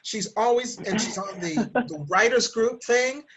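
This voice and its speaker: A woman's voice: fairly high pitch, a little slow, over a noisy crowd. A woman podcaster and writer talking casually, in a conversational, explanatory way. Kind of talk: casual chat.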